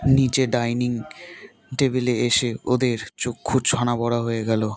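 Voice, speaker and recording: A man narrating a ghost story in steady storytelling manner. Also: medium pitch, measured pace.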